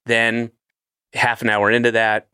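A man in his late fifties chats casually as a podcast host, wandering through stories with self-mocking asides. His voice sits at an ordinary pitch. The sound is close and dry, with no room around it. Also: completely flat delivery, unhurried, sharp tone, clear, resonant tone, no echo. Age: 50s. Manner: rambling, self-deprecating, trails off and restarts. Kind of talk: casual chat.

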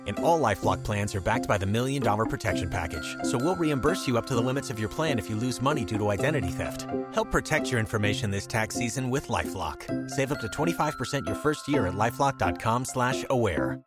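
A man delivers a scripted commercial voice-over at a middling pitch. Loud music runs underneath him. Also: expressive, quick pace, few pauses. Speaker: advertising voice-over.

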